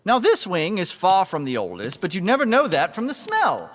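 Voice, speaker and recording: An actor playing a pompous, officious museum director in an audio drama, with a haughty, fussy, theatrical manner. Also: male voice, high-pitched, huge pitch swings, normal speed, heavily acted. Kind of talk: audio drama character acting.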